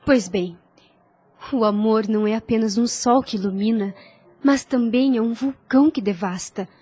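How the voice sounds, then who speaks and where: A woman's voice: a little high, moderate pace, warm tone, husky. An actress playing a devout young woman in a solemn audio drama, tender, self-denying and resolute, speaking in lofty, formal phrases. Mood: earnest, gentle. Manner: lofty, formal, earnest delivery. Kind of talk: solemn audio drama acting of a religious novel.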